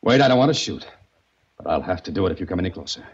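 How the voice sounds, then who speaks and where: A wry, tough radio adventurer. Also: male voice, deep voice, sing-song, rapid-fire, husky, exaggerated voice. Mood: urgent. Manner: wry, tough first-person narration. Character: hard-boiled male adventurer held captive. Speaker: narrator and lead. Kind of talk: 1940s radio acting. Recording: dull old radio recording.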